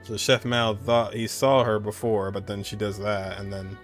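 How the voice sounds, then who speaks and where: A man with a fairly low voice commentates casually as he streams a game. He is laid-back, tossing out short, muttered reactions. Soft music sits in the background. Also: steady tone, normal speed.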